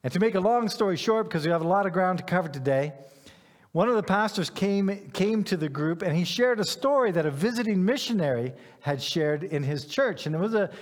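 Male preacher, middle-aged, fairly high register, informal self-deprecating storyteller, recorded with long hall echo. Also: animated, fairly quick. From Ghana, West Africa. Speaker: pastor. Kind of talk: conversational sermon.

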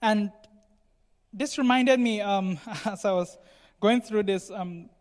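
A man's voice: high-pitched, takes it slowly, a little mellow. A man preaching a sermon in a conversational, explanatory way, often checking in with his listeners. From Cameroon. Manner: explanatory, frequently checks in with "right?" and "you know". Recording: long hall reverb.

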